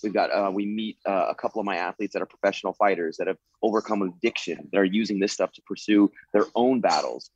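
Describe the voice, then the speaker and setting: A man's voice: low-pitched, fast talker, few pauses, highs cut off, a little hiss or hum. A man in his late thirties, a former fighter turned coach, answering interview questions in an earnest way, leaning on "you know" as he talks. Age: 30s. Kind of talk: interview answers, reflective storytelling.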